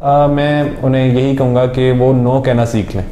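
A man's voice: mid-range voice, level tone, moderate pace, noisy background. A man, giving earnest motivational advice as an interview guest. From Pakistan. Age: 20s. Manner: earnest and advisory, gestures a lot while talking. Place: small studio room, indoors. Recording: echoey small room.

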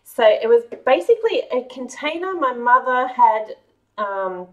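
A woman's voice: fairly high pitch, sing-song, a little slow, recorded from a distance, lo-fi sound. A middle-aged woman with an Australian accent, hosting a cheerful, chatty home cooking vlog. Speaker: cooking channel host. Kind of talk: casual kitchen vlog. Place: large home kitchen. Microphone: camera a few meters away.

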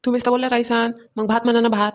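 Quick high-voiced young daughter-in-law, acted by a woman. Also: little pitch movement, lightly acted. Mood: dutiful. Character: young Marathi daughter-in-law, cheeky, mock-dutiful, quick to retort. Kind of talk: cartoon comedy skit voice acting.

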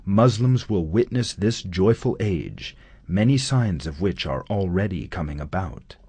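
A man narrating a scripted documentary in a measured, solemn studio voice-over. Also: low-pitched, lively intonation, mellow, husky, dry recording.

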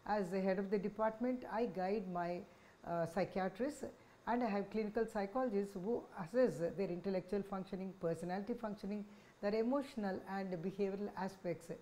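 A woman in her sixties with an Indian accent, speaking as a senior psychiatrist in a measured, explanatory talk to camera. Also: mid-range voice, dark tone, poor audio. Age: 60s. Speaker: senior child psychiatrist, head of department. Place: small office, indoors. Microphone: lapel microphone.

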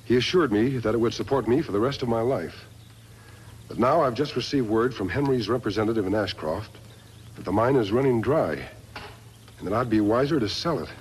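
An actor playing an earnest, steadfast hero in a 1950s radio western, speaking in a measured, formal way. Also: male voice, medium pitch, natural voice. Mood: solemn reading. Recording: noisy vintage 1950s radio recording.

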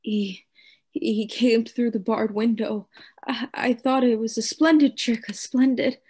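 A woman playing a tormented madman in a radio play, raving and pleading in halting, repetitive phrases. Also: fairly high pitch, fairly even intonation, darkish tone, husky. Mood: remorseful. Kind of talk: theatrical radio play acting.

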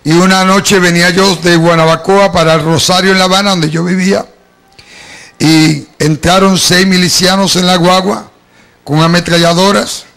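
A middle-aged Puerto Rican man with a touch of Cuban in his speech preaches his testimony to a congregation in a church hall, holding a handheld mic. His voice sits high and carries a gravelly rasp. He tells his story in a lively way, calling the listeners "beloved" as he goes. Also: normal speed. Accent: Puerto Rican Spanish with some Cuban. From Puerto Rico. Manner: storytelling, addresses the congregation as "amado". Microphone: handheld microphone.

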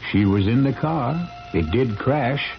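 A man's voice: mid-range voice, expressive, measured pace, creaky. Old radio mystery host guy, wry theatrical delivery, muffled recording with music behind. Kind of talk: 1970s theatrical radio narration.

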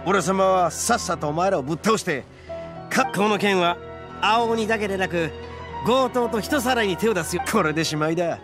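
A proud young oni gang leader from an anime game, acted by a man, speaking in rough, blunt slang with a swagger. His voice sits a little high for a man, and music plays underneath. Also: moderate pace, natural voice. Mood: resolute. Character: brash, proud young male horned oni gang boss.

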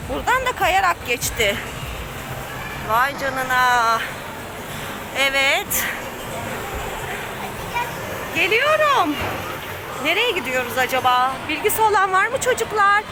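A very high, lively female voice, slow and drawn out, chatting to a child in a playful, coaxing way. She exclaims and encourages while clambering about, with clear background noise from the play area. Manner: physically active while talking. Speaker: family vlog host playing along with a child. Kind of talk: playful running commentary addressed to a child. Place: play park obstacle course. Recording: noisy play area ambience.

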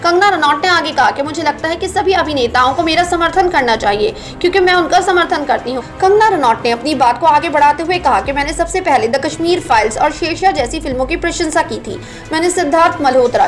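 Woman doing scripted showbiz news voiceover, pretty high voice, music playing behind. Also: fairly quick. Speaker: narrator of an entertainment news channel. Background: background music.

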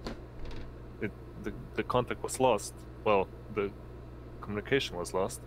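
A man improvising narration as a tabletop game master, halting and searching for words, on a noisy voice-chat microphone. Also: fairly low pitch.

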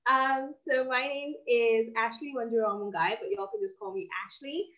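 A woman's voice: fairly high pitch, normal speed, a bit bright, highs cut off. A woman in her twenties from Kenya, a guest on a casual interview over a video call, speaking confidently and articulately, recorded on a laptop webcam at home. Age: 20s. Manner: confident, articulate, laughs easily. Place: home office with bookshelves.

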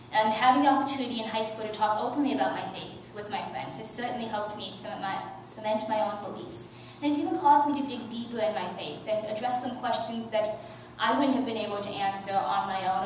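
A teenage girl reading a prepared youth sermon at a church lectern, earnest, with soft laughs and small restarts. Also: mid-range voice, a little hiss or hum. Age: teens. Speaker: youth speaker. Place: church sanctuary. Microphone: headset mic, filmed by far camera. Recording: very echoey church from far off.

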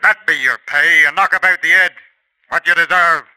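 A man's voice: a little high, fairly even intonation, moderate pace, noisy background, lightly acted. An actor playing a scheming older father who hires an assassin, in a 1950s radio drama. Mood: angry.

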